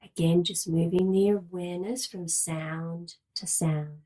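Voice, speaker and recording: Female yoga nidra guide: slightly low register, unhurried, very slow delivery, measured and soothing. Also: fairly even intonation, mellow. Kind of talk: guided relaxation meditation, slow instructions with long pauses.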